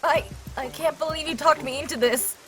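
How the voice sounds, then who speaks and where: A woman's voice: high-pitched, fairly even intonation, a little fast, pushed and bright, soft background music. A woman voicing a brash, grumbling teenage schoolgirl with a tomboyish, sarcastic manner in an anime fan dub. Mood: exasperated. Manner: blunt, sarcastic, complaining. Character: brash, grumbling boy in a teenage girl's body.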